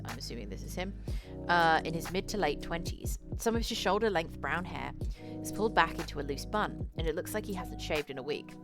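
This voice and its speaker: Low-voiced woman streamer reading over music. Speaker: game streamer. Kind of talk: reading a visual novel aloud with casual commentary.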